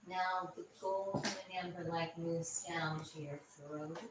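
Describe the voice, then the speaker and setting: A woman leading a guided meditation for a group in a gentle, soothing manner, with frequent pauses. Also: fairly low pitch, fairly even intonation. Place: indoor room with a group present. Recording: roomy echo, distant mic, clear background noise.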